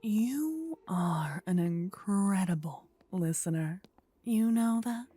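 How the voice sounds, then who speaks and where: A woman playing a possessive, warlike demon queen in an intimate ASMR roleplay, speaking in a breathy whisper with measured, formal, regal phrasing. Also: mid-range voice, animated, warm tone, no room sound. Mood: tender, grateful.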